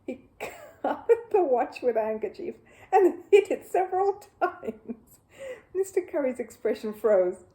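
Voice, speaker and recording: A woman narrating a children's story as an animated audiobook reader. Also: high-pitched, unhurried.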